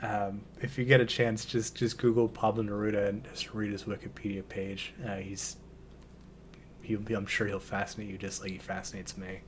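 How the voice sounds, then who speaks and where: A man with a slightly hoarse voice, hosting a relaxed poetry reading and hesitating often with ums and restarts. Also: lowish voice, moderate pace, a little hiss or hum. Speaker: poetry channel host. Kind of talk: casual intro and poetry reading.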